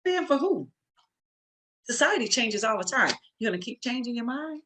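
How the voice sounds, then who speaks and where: A woman's voice: a little high, big pitch swings, normal speed, a bit bright, a little hiss or hum. A woman speaking as an interview guest, emphatic and motivational, repeating short phrases for emphasis. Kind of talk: conversational interview.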